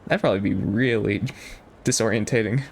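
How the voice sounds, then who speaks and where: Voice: medium pitch, normal speed, gravelly, slight background noise. A streamer musing aloud in a casual, rambling way while commenting on a game. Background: game music.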